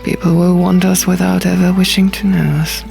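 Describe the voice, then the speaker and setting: A woman's voice: on the low side, flat delivery, a little fast, gravelly, mellow. A woman reading a dramatic first-person fantasy audiobook as narrator. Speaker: audiobook reader. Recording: music behind the voice.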